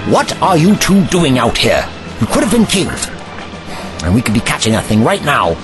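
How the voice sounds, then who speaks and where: A man's voice: mid-range voice, wildly swooping pitch, normal speed, over music. A man playing an older, commanding and protective time traveler in an audio drama, speaking formally and precisely in an avuncular way. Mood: stern, urgent. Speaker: actor in a sci-fi audio drama.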